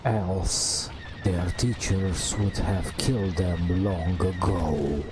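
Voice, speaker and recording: A man softly narrating a video game as an ASMR bedtime story, hushed and drawn out, with long pauses. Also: low-pitched, fairly even intonation, very noisy. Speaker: host narrating gameplay. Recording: music behind the voice. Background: game music.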